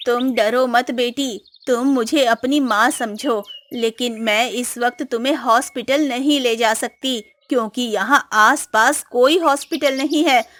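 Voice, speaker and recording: A woman's voice: on the high side, measured pace, few pauses, fairly bright tone. A woman voicing a kindly, motherly old village woman in a cartoon story, reassuring in manner. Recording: music behind.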